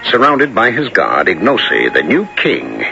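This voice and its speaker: Animated adventurer narrator, music behind. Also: male voice, on the low side, moderate pace, vocal fry, muffled recording, full character voice. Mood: dramatic narration. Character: seasoned male hunter-adventurer recounting his own tale, earnest. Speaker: narrator and lead character. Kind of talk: dramatic first-person radio narration. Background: music under the voice.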